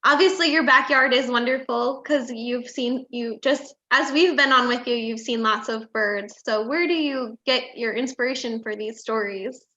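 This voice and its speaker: A woman's voice: a little high, normal speed, few pauses, bright, forceful tone. A woman with an American accent casually hosting an event and interviewing a guest. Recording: dull, lo-fi online audio.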